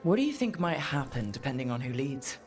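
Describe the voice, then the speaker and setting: A woman's voice: very deep voice, very animated, fairly quick, faint music behind. A woman playing a young rogue hero in a video game, casual, direct and wry. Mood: exasperated. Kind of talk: video game character dialogue.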